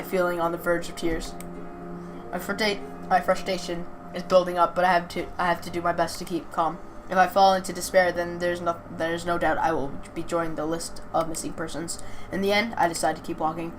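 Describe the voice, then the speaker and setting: A woman hosting a let's play, reading a visual novel aloud with casual asides and stumbling over some words as she goes. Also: fairly low pitch. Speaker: video host playing a visual novel.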